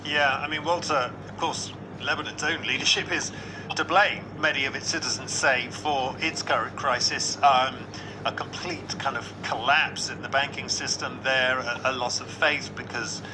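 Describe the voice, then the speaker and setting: A man presenting radio news, asking probing, measured questions in an interview. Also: medium pitch, steady tone, noisy background.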